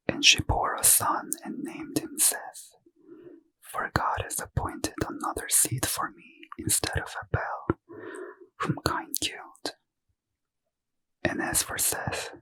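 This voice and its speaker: Man whispering scripture slowly. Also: low-quality recording. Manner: soft slow whisper, gently lilting. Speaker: reader. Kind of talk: ASMR whispered Bible reading.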